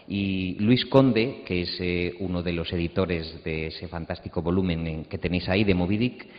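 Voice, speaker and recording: A middle-aged man, introducing guests as a panel moderator into a handheld microphone. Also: moderate pace. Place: stage in an auditorium. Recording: boomy reverberant hall, muffled sound.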